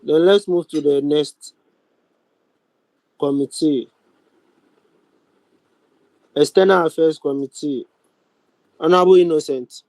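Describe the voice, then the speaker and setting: A young man with a Ghanaian accent chairs a formal online meeting, speaking deliberately with frequent pauses. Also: on the high side, darkish tone, no room sound, low-quality recording. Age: young adult. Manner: deliberate, halting, with many pauses. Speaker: speaker of a youth parliament. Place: online video call.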